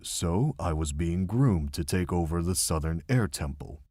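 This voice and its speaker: Man acting a deep, husky, gentle monk mentor. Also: expressive, no room sound, clean studio sound. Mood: confiding. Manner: measured, gentle, reflective storytelling. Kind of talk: fan visual novel voice acting.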